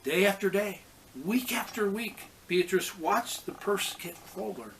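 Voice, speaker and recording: Higher-pitched man reading a children's story. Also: a little slow, slight background noise, low-quality recording. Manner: expressive, acts out characters' lines. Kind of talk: storybook read-aloud for children.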